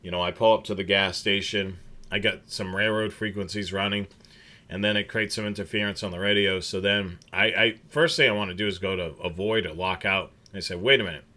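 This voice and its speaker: A man's voice: sits low, steady tone, fairly quick, sharp tone. A man hosting a casual livestream chat, rambling with many fillers and restarts. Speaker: scanner show host.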